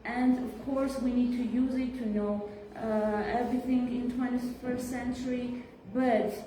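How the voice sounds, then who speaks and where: A teenage girl student giving her view in a classroom discussion, speaking haltingly with many fillers. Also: a little high, fairly even intonation, mellow. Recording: echoey room from far off, clear background noise.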